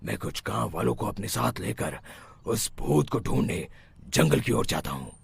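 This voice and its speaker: A man voicing a confident male exorcist in a cartoon, calm and authoritative, sometimes low and hushed. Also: normal speed, vocal fry, breathy edge, full character voice. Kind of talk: cartoon voice acting.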